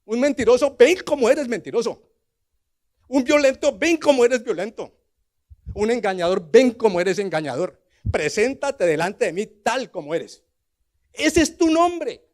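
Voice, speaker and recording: A man in his sixties with a Colombian accent preaching a sermon, telling scripture as a dramatic story and moving between hushed asides and loud, emphatic declarations. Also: very high-pitched, animated, a little slow. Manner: rhetorical questions to the congregation.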